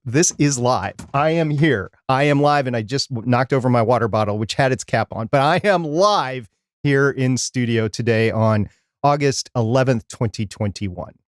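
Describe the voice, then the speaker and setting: A man's voice: mid-range voice, no room sound. Male podcast co-host, dry studio sound. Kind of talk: casual live podcast chat.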